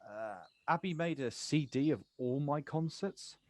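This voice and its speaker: An actor playing a guarded but kind poet in a stage play, questioning and prone to stammer when unsure. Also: male voice, a little high, animated, measured pace, no room sound, studio quality. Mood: flustered. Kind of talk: stage play acting, quiet two-hander.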